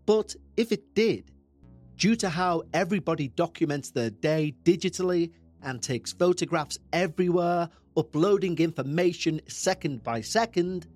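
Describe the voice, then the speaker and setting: A man with a Liverpool accent narrates a true-crime podcast in a measured, dramatic way. His voice sits a little high for a man. Faint music plays beneath him. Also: lively intonation, darkish tone. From England. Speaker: podcast host.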